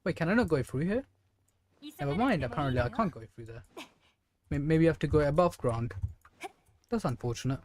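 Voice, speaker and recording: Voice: medium pitch, lively intonation, brisk, long pauses, darkish tone. A game streamer giving casual gameplay commentary in a rambling, thinking-aloud way. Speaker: game livestreamer.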